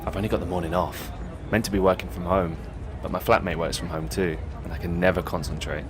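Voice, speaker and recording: A man playing a hapless, literal-minded British protester in a naturalistic audio comedy, easily swayed, with a puzzled, deadpan, questioning manner. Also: low-pitched, fast talker, background noise, natural voice. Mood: mildly puzzled.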